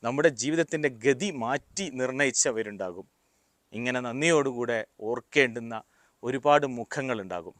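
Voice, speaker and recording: A man giving a motivational talk, explaining deliberately in short, paused phrases. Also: medium pitch, lively intonation, fairly bright tone, no echo, studio quality. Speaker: motivational speaker. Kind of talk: motivational talk with personal anecdotes.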